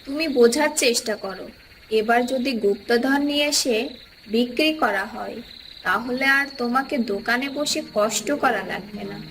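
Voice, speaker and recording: Woman voicing a coaxing, high-voiced village wife. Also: moderate pace, a bit bright, slight background noise, low-quality recording. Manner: coaxing, persuasive. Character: village wife, coaxing and eager for more treasure. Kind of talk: Bengali cartoon moral-story voice acting.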